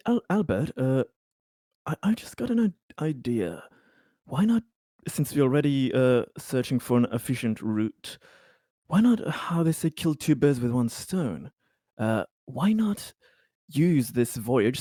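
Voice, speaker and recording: Man, game player, dark mellow voice, medium pitch, deliberate, halting, dry recording. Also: no room sound. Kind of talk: in-character game discussion.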